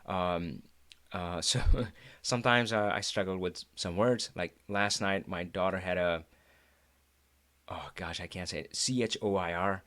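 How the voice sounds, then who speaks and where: A middle-aged man from India with an Indian accent, Hindi his first language, hosting a live talk in a chatty, animated way with frequent laughs. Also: deep voice, unhurried. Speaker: host.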